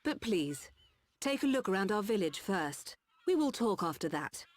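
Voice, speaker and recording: A woman voicing a composed, caring mechanical-lifeform doctor in a game, speaking in a measured, formal, polite way. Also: medium pitch, sing-song, dry recording. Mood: calm, explanatory. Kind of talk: scripted game cutscene acting.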